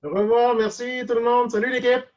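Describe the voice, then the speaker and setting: A man's voice: very high voice, flat delivery, a little fast, darkish tone. A man with a Quebec French accent speaking casually and reflectively as a guest, hesitant and often repeating words. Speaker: guest cartoonist and animator. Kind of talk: casual online interview, reflective advice. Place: video call. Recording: dull lo-fi online call.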